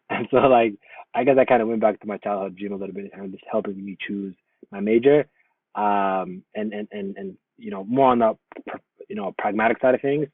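A man born in Somalia, a guest in a casual interview, talking in a relaxed, rambling way full of fillers and restarts. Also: on the low side, a little fast, creaky, breathy edge, no room sound. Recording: tinny phone-like lo-fi line, dry.

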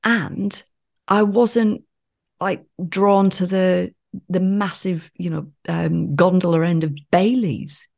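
Low, gravelly-voiced female podcast host. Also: steady tone, takes it slowly, darkish tone. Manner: jokey, playful, swears casually. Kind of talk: casual podcast chat.

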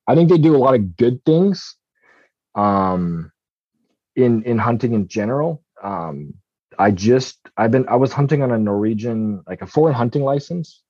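A man's voice: fairly low pitch, dark tone, dry recording, lo-fi sound. A man from Southeast Alaska, a commercial fisherman chatting casually as a podcast guest, rambling with many ums and restarts.

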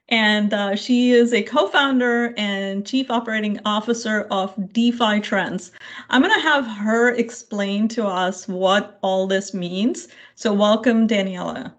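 A woman hosting an informal podcast interview, chatty and enthusiastic as she talks. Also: a little high.

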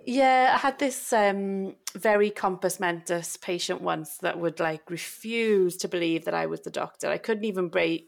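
Gravelly-voiced chatty young woman. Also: on the low side, normal speed, hardly any pauses. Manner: chatty, laughs often, many restarts. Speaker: podcast co-host, doctor. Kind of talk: casual chat.

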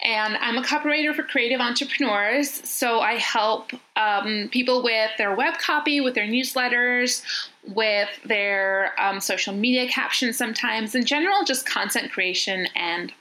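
A woman's voice: on the high side, moderate pace, pushed and bright, rough recording. A woman from New York with an American accent, chatting as a podcast guest, laughing now and then and restarting her sentences. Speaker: guest copywriter. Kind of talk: casual interview chat.

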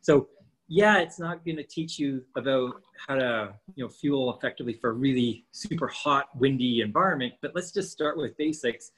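A man's voice: mid-range voice, normal speed, fairly bright tone, breathy edge, poor audio. A man in his fifties co-hosting a casual podcast, explaining training and nutrition with lots of rhetorical questions. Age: 50s.

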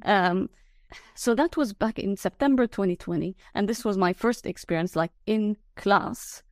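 Soft-spoken woman podcast host. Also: unhurried, no room sound, studio quality. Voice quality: low, soft voice. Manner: laughs often, hesitant with fillers. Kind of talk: casual conversational chat.

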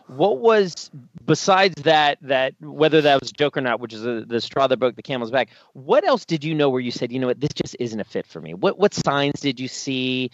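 A man's voice: mid-range voice, expressive, moderate pace, dry recording. A man hosting an interview, introducing his guest and asking questions in an upbeat, encouraging way. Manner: upbeat, encouraging, lots of affirmations. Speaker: interview host. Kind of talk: hosted interview. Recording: dry, slightly muffled audio.

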